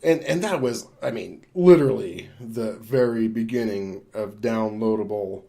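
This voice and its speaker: Male podcast co-host, warm dark tone, slightly low, conversational, halting and measured. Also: vocal fry. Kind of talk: casual discussion of a film and games.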